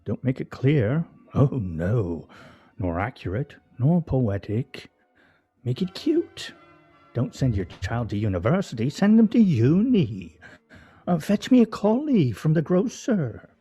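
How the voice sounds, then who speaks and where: An actor playing a pedantic, grumbling husband in a comedic radio play, with pompous, wordy, fussily articulate delivery. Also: male voice, mid-range voice, very animated, slow, dark tone, slightly rough, dull audio, exaggerated voice.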